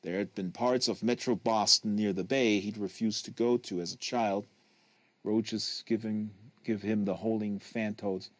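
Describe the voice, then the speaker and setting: A man's voice: lowish voice, fairly even intonation, unhurried, dry recording. A man reading a literary novel aloud in a live reading, leaning on phrases for emphasis.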